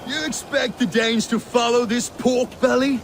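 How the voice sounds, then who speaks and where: A man's voice: extremely high pitch, steady tone, normal speed, noisy background. An actor playing a boastful Danish warrior who taunts and mocks his rivals. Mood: mocking. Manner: scornful, taunting. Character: gruff boastful Danish warrior man, mocking. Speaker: rival warrior in a rhyming insult duel. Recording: game cutscene with loud ambience.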